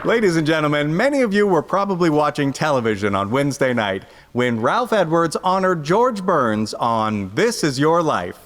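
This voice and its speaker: An actor playing a jovial, polished radio announcer, reading ads and introductions in 1950s style. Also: male voice, a little high, very animated, normal speed, hardly any pauses, fairly bright tone, long reverb tail, a faint crowd, a little hiss or hum. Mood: genial, narrating.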